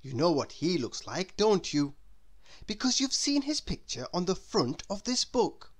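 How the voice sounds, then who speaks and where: A woman reading a children's story aloud in a deliberate, expressive way, as if to a young listener. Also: lowish voice. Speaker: storybook reader. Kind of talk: scripted children's story narration.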